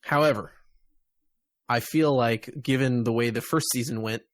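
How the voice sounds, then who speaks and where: A man's voice: mid-range voice, moderate pace, no room sound, clean studio sound. An American man hosting a podcast, chatting casually about games and media. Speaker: podcast host.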